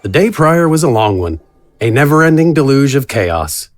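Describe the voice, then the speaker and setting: A man's voice: medium pitch, sing-song, moderate pace. A man narrating a scripted fantasy story as an audiobook-style narrator.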